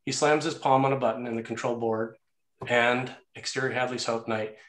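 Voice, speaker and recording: A man's voice: medium pitch, steady tone, moderate pace, fairly bright tone. A man narrating action and scene headings from a screenplay in a scripted read. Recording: roomy, echoey sound.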